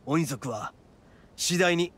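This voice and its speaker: A man playing a brash, big-hearted young oni gang boss in a Japanese anime game, with rough-edged, blunt street-tough slang. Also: fairly high pitch, slow pace, fairly bright tone. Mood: solemn.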